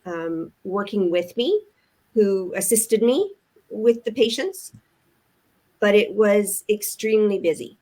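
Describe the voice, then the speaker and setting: An older woman from Canada, a retired nurse with grandchildren, telling her story on a live chat in an earnest, thoughtful way with pauses. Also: mid-range voice, mellow. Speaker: online business owner, co-host. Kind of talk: casual chat.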